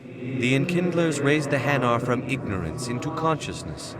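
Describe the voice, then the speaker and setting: A man's voice: medium pitch, big pitch swings, normal speed, heavy background noise, lightly acted. A man playing a devout, humble alien jellyfish missionary who speaks very formally in a video game. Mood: earnest. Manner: formal, humble, refers to self in third person.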